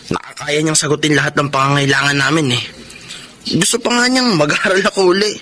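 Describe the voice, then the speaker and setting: A Filipino actor playing a carefree, boastful teenage brother who gambles, in a casual radio drama style. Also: male voice, a little high, brisk, pushed and bright, a little hiss or hum. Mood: proud, casual.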